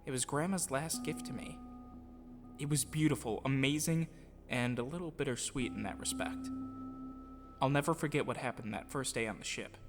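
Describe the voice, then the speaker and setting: A man's voice: medium pitch, normal speed. A man telling a personal story as scripted first-person narration, in an earnest, reflective way. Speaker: audio drama producer and voice actor. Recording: music playing behind the voice. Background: music.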